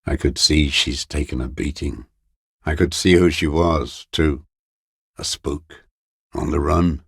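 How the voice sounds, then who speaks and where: A man playing a bitter, hateful old communist deserter in a video game, brooding and contemptuous, with a gravelly, raspy voice and halting delivery. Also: very deep voice, a bit bright, no echo, studio quality, full character voice. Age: older. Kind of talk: video game character monologue.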